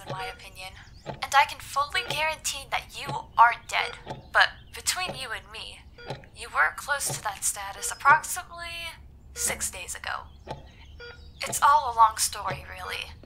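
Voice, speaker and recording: A woman's voice: fairly high pitch, pushed and bright. A woman playing a chipper, polite healthcare AI in an audio drama, chatty and precise.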